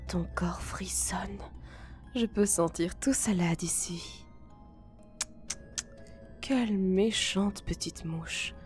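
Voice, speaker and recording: A woman voicing a seductive, possessive spider-woman monster in an ASMR roleplay, coaxing softly and often whispering. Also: medium pitch, soft background music. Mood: coaxing, menacing. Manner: breathy whispering, slow coaxing delivery.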